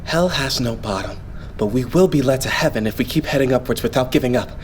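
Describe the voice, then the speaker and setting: A man's voice: medium pitch, slight background noise, close to an everyday voice. A man playing a devout, optimistic young male idol in an anime game fan dub, explaining things politely. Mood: hopeful, reassuring. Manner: polite, explanatory, measured phrasing.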